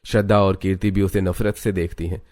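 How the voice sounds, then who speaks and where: A man with a low voice serves as narrator of a moral family tale. His delivery is scripted, dramatic storytelling. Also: flat delivery, a little fast, a little mellow.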